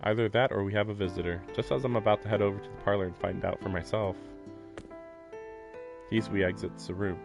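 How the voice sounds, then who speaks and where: Low-voiced rambling man streaming a visual novel. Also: fairly even intonation, fairly quick, creaky. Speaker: livestreamer playing a visual novel. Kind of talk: reading game narration aloud with casual commentary. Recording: game music playing behind. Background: video game music.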